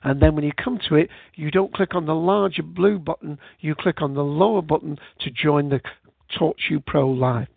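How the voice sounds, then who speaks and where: A man's voice: on the high side, normal speed, vocal fry, husky, no echo. A British man hosting a casual podcast into a USB headset, rambling with frequent fillers. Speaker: podcast host. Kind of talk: casual solo podcast talk. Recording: tinny phone-like line.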